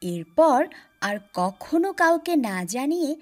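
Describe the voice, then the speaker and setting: A woman's voice: sits high, very animated, slow. A woman telling a scripted children's story as its narrator.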